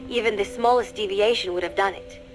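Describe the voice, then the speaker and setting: A woman plays a conflicted young spy confessing in a dramatic game monologue. The voice sits at a middle pitch, deliberate and introspective, fragile beneath cold resolve, with music faintly behind it. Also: steady tone, lightly acted, rough recording. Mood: tense, confessional.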